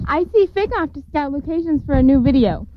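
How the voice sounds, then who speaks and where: A helium-squeaked band guy. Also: lively intonation, fairly quick, heavy background noise. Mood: chirpy. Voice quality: squeaky high. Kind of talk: 1990s college radio sketch comedy. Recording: muffled old radio recording.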